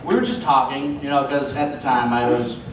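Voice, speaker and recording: A man's voice: mid-range voice, fairly even intonation, brisk. A man bantering casually as a convention panelist, wry and steering the conversation. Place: large hotel convention panel room. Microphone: far audience camera. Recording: echoey hall, loud noise and crowd, distant.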